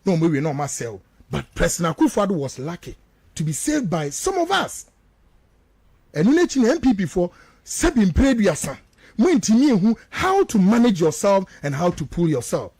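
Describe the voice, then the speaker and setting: Animated high-pitched Ghanaian man. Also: moderate pace, slightly rough, no room sound. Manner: emphatic, repetitive, rhetorical. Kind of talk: animated political commentary mixing two languages.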